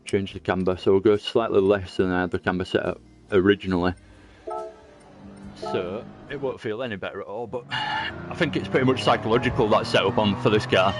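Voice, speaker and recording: A man's voice: lowish voice, fairly even intonation, fairly quick. A man giving casual, chatty commentary while playing a racing game, laughing often and teasing friends. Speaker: sim racing streamer. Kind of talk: casual live-stream gameplay commentary. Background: music.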